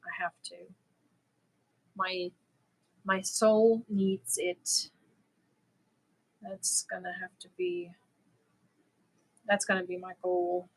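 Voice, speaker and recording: A woman's voice: lowish voice, flat delivery, slow pace, pauses often, low-quality recording. A middle-aged woman from Germany, chatting casually with viewers as host of a crochet livestream and laughing easily. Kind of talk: casual livestream chat answering viewer comments.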